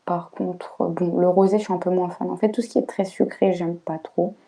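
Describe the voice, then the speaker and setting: A woman's voice: on the low side, flat delivery, a little fast, vocal fry, warm tone, far from the mic. A woman of Portuguese family, a podcast co-host, chatting casually and hesitantly with many fillers.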